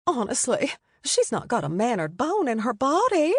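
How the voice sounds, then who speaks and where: A woman's voice: fairly high pitch, wildly swooping pitch, normal speed, breathy edge, no room sound, clean studio sound, pitched above the performer's own voice, exaggerated voice. Woman putting on a prim, scandalized older Southern lady.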